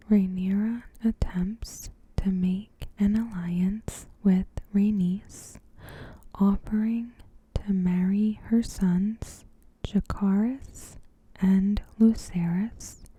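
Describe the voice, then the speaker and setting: Female ASMR narrator: extremely soft and mellow, whispered and breathy, lowish pitch, unhurried scripted delivery with careful pauses. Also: a little hiss or hum. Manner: hushed, slow, deliberate pauses. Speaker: ASMR host. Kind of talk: ASMR, softly spoken scripted episode recap.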